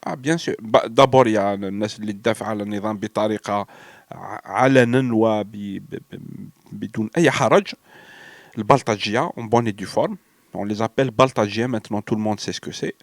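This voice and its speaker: A man's voice: lowish voice, fast talker, gravelly, no room sound. A man from Algeria with an Algerian French accent, sick with a cold and fever, talking in a rambling, hesitant way and slipping between French and Arabic in a personal monologue. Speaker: vlogger.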